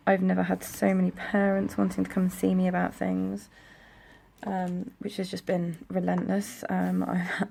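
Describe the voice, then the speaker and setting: A young woman with a croaky, gravelly voice and a lowish pitch talks in a relaxed, rambling way while she decorates her planner. She records at home, and faint traffic hum sits under her voice. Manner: chatty, rambling, soft-spoken. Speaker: planner vlogger. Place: home room near a busy road. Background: traffic noise.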